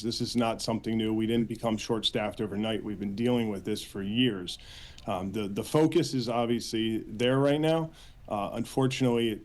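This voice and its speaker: A man with an American accent answering as a union spokesman in a news interview, earnest, with halting phrasing and repeated words. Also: on the low side, a little mellow. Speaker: union official, interview guest.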